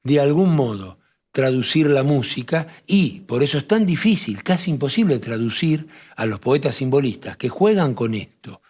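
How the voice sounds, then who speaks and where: A man with a Rioplatense accent explaining poetry and translation in a measured talk-show conversation, often restarting his phrases. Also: mid-range voice, animated, a little mellow. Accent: Rioplatense Spanish. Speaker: literature professor on a TV talk show.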